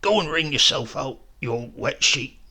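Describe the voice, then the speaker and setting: A man voicing a sly, feisty, dying old countryman in a story, with a rural English accent and a weary, breathy croak. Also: mid-range voice, lively intonation, normal speed, bright, forceful tone, full character voice. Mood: gruff, scornful. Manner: rustic, grumbling, conspiratorial.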